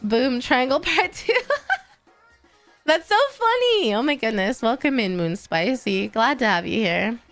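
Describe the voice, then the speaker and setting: A young woman chats with her stream audience in an easy, encouraging way. Her nose sounds stuffy and congested, and she laughs often. Faint music plays softly underneath. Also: fairly high pitch, expressive, measured pace, no echo. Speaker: VTuber streamer. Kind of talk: casual livestream chat, reading chat.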